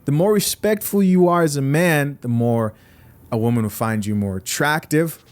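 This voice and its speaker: A man's voice: fairly high pitch, normal speed. A young man from Zambia hosting a podcast, giving blunt, opinionated commentary straight to his viewers. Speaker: podcast host reacting to clips.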